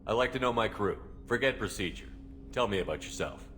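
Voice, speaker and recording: An actor playing a composed starship commander in a video game, direct and controlled. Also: male voice, on the low side, animated, fairly quick, fairly bright tone, faint music behind. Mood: composed, interested. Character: composed male starship commander questioning his crew. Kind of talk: video game character acting.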